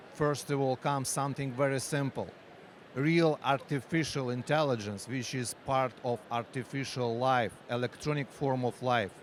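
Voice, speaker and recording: Ukrainian-accented man, halting English. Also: medium pitch. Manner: halting non-native English, frequent pauses and fillers. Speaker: company founder and researcher. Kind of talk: conference interview. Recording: faint street and vehicle noise.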